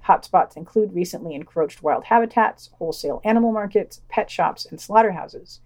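A woman's voice: fairly low pitch, a little fast, a little mellow. A woman hosting a podcast, reading scripted reviews and quotations in a matter-of-fact, opinionated way. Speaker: podcast host reading a news roundup. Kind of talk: scripted review commentary, read aloud with quotations.

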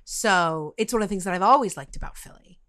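A middle-aged woman interviewing in a casual, conversational way, halting and repeating words as she thinks aloud. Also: mid-range voice, expressive, fairly bright tone, no echo, clean studio sound. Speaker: podcast interviewer.

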